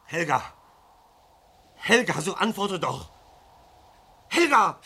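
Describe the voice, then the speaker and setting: Sharp, tense, commanding husband. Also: male voice, high-pitched, very animated, slow pace, breathy edge, exaggerated voice. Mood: urgent, demanding. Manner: insistent, urgent, clipped commands, repeating himself. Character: tense, commanding husband coaching his frightened wife. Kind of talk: German radio crime drama acting.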